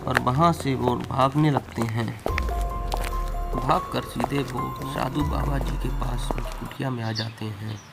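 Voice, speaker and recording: A man's voice: medium pitch, fairly even intonation, unhurried, creaky, loud music behind the voice. A man narrating a scripted cartoon story as the storyteller.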